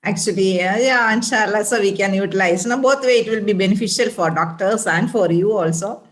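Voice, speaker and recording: A woman's voice: mid-range voice, a little fast. A woman gives an informal speech at a women's group event, full of fillers and restarts. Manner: hesitant, many fillers and restarts. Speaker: association member and doctor.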